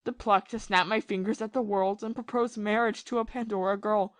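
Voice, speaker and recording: A woman acts as a ruined gentleman and former army captain in a period audio drama. He confesses his lovesick misery with bitterness and passion, halting and repeating himself. The pitch is moderate for the performer and the sound is very dry. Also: steady tone, no room sound, lightly acted. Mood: anguished.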